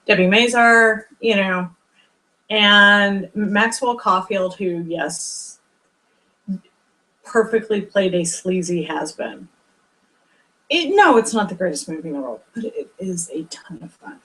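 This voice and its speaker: Woman co-host, lively storyteller with a big projecting voice, casual review banter. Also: medium pitch, slow, rough recording. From former record store worker. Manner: animated storyteller, loud projecting voice. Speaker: podcast co-host. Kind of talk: casual movie review chat.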